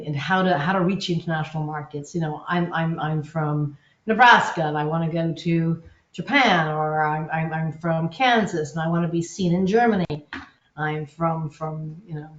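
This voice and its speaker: A woman's voice: fairly low pitch, roomy sound, dull audio. A woman presenting a live webinar in an upbeat, enthusiastic, loosely conversational way. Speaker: webinar presenter, agency content officer.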